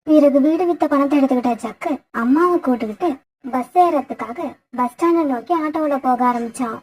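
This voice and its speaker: A woman with a husky voice narrating a scripted children's story. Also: sits high, brisk, darkish tone.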